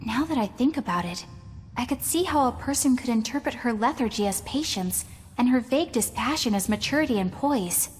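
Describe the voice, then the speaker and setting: A woman playing a lively, caring young woman in a video game, expressive and a little theatrical. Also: on the high side, a little fast, soft background music, lightly acted. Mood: admiring. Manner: expressive, animated. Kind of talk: animated video game dialogue.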